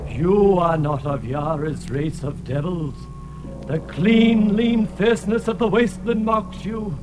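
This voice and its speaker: A man's voice: sits high, a little slow, low-quality recording. A man playing an ancient, tormented winged alien in a fantasy audio drama, speaking in grandiose, archaic laments. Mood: solemn, knowing. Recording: music laid under the voice.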